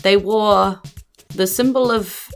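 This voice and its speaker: A woman voicing a weakened, ancient male water elemental guardian who speaks in terse, solemn, halting statements. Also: mid-range voice, faint music behind, pitched above the performer's own voice. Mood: grave.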